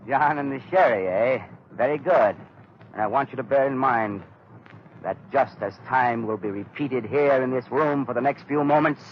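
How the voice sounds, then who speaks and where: A man's voice: mid-range voice, lightly acted. An actor playing a gloating mad inventor in a 1930s radio drama, mock-polite and menacing as he savours his cruelty, on a noisy, muffled old recording. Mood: smug, commanding.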